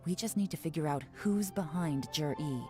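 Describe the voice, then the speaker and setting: A woman voicing a shrewd, self-assured female intelligence agent in a video game, composed and deliberate. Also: deep voice, mellow, faint music behind, natural voice. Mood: cool, analytical.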